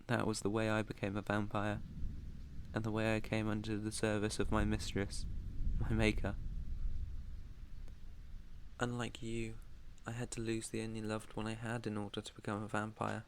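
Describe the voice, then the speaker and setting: A British man playing a protective, haunted yet tender vampire master in an intimate ASMR roleplay, speaking softly, earnestly and deliberately. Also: on the low side, completely flat delivery, slight background noise, close to an everyday voice, rough recording. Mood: haunted, solemn.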